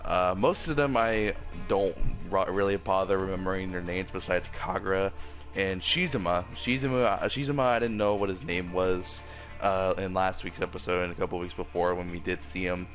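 A man's voice: low voice, moderate pace, a bit bright. A man with a sore throat reviewing an anime episode in a casual, rambling way, full of fillers and restarts. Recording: faint background music.

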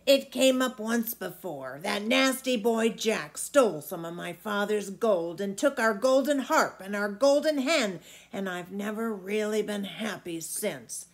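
A woman voicing a booming, gruff old giant. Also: animated. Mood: grumbling, wistful. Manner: booming, drawn-out, roaring delivery. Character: very old, toothless, gruff male giant, fierce yet wistful. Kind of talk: storybook character voice.

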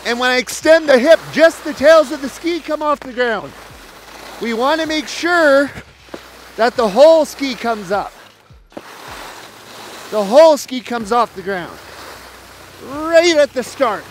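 A man's voice: very high-pitched, expressive, unhurried, long pauses, slight background noise. A man giving step-by-step ski instruction outdoors, explaining a demonstration to camera. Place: outdoors on an open snowy mountain ski slope.

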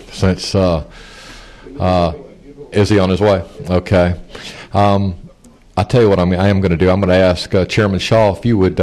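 A man's voice: sits low, a little mellow. A man chairing a legislative committee hearing from a desk microphone, running the proceedings in an informal way.

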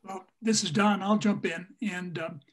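An elderly man speaks as a panelist on a video call from a room at home. His voice sits high for a man, and he talks in a measured, deliberate way. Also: steady tone. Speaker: panelist, circus music society representative. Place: small room at home, indoors. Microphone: computer mic on a video call.

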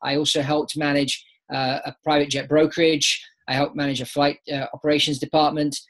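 A man's voice: a little high, flat delivery, fairly quick, fairly bright tone. British man chatting on a video call. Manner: talks with his hands, repeats words as he restarts. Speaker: guest, aviation business founder. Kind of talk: conversational interview on a video call. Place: home office with bookshelves. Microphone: laptop webcam on a video call.

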